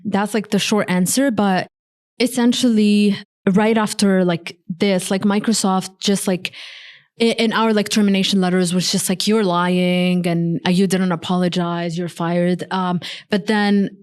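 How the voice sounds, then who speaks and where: A young woman who grew up in Morocco speaks as a podcast guest. She talks earnestly and loosely, leaning on "like" and "um" between thoughts. Her voice sits at a middle pitch. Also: darkish tone, smooth. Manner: heavy use of "like" fillers, earnest. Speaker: guest, software engineer. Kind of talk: casual conversational interview.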